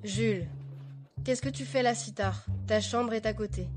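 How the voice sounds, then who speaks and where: A woman plays a brisk young bride, practical and proud, with a slightly high voice. She speaks matter-of-factly over faint music. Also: lightly acted. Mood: flustered.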